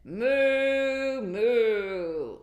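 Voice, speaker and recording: A woman voicing noisy storybook farm animals with loud, drawn-out calls. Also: on the high side, expressive, echoey room, pitched above the performer's own voice. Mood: noisy, playful. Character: loud farm animals mooing, braying and baaing.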